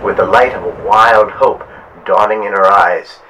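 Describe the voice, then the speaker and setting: Slow, melodramatic man narrating pulp horror. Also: fairly low pitch, steady tone, sharp tone, a little hiss or hum, poor audio. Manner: melodramatic, drawn-out delivery. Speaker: host and narrator.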